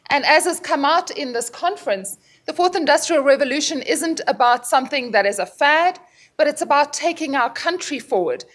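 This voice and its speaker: A woman's voice: high-pitched, a bit bright. A woman hosting a conference, introducing the next speaker in a friendly, conversational way at a podium mic. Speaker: conference host. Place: conference room with seated audience.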